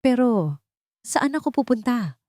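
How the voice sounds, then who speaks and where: A woman's voice: a little high, sing-song, measured pace, mellow, no room sound, clean studio sound, voice pushed up. A woman voicing a desperate young nanny in an audio drama, pleading in a broken voice. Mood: lost, pleading.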